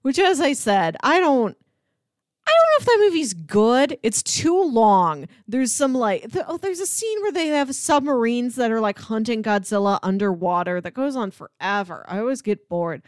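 An American woman co-hosting a podcast, chatting casually about films in a chatty, animated way. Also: a little high, normal speed, no echo, clean studio sound. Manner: chatty, animated, often laughing while talking. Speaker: podcast co-host.

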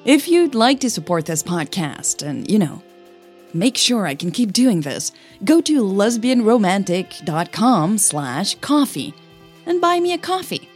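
A woman with a soft, breathy voice, a podcast host who narrates her own audio fiction, over music. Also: mid-range voice, sing-song, measured pace. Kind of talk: scripted story narration with casual host outro. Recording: music bed under the voice.

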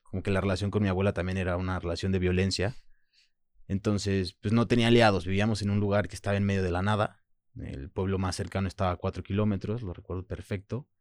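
A man in his thirties with a very deep voice and a Mexican Spanish accent, though French was his first language. He talks in a halting, reflective way, leaning on small fillers between thoughts. The sound is close and dry, with no room around it. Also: little pitch movement, no room sound. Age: 30s. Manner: reflective, halting, frequent "pues" and "¿sabes?". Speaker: guest. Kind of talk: personal interview.

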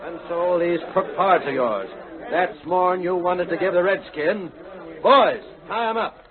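An actor playing a plain-spoken, fair-minded Old West sheriff with folksy cowboy talk in a radio western. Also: male voice, high-pitched, unhurried. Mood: decisive. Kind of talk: 1930s-40s radio western acting. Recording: tinny old radio broadcast, crowd murmur.

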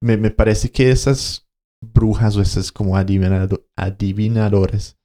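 A man's voice: on the low side, fairly even intonation, normal speed, mellow. A man co-hosting a podcast, telling a story in casual conversation, hesitating and searching for words as he goes. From Colombia. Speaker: podcast co-host.

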